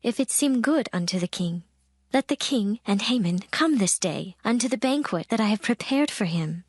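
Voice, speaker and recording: A woman's voice: on the high side, lively intonation, normal speed, dry recording, clean studio sound. A woman playing a dignified young queen, grave and resolute, speaking formally in a dramatized scripture reading. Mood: courteous.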